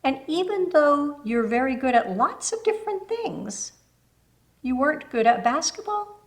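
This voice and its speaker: Woman voicing a kindly, animated storybook neighbor lady. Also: high voice, unhurried, voice pushed up, heavily acted. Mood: gently reassuring. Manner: encouraging, folksy, calls listener honey. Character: kind, chuckling, warm-hearted older neighbor lady. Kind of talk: children's storybook read-aloud.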